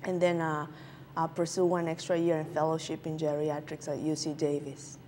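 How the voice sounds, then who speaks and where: Woman, lowish voice, halting speech, frequent fillers, faint hiss. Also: level tone, darkish tone. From Peru. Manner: halting, many filler sounds. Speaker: guest physician. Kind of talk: interview answers.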